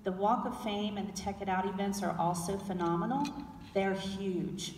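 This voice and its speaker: A middle-aged woman from South Carolina with a Southern accent. She is a guest in an onstage fireside chat and talks in a relaxed, chatty way, with fillers and restarts. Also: medium pitch, normal speed, slight background noise. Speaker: panel guest. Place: large indoor event hall with an audience. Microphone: handheld mic, recorded by a far camera. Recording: roomy hall echo recorded from far off.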